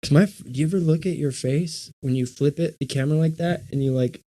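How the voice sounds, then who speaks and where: A young man co-hosting a podcast livestream, with a slightly raspy smoker's voice, chatting loosely and swearing often. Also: on the high side, fast talker, dark tone, dry recording. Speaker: podcast co-host. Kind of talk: casual livestream chat.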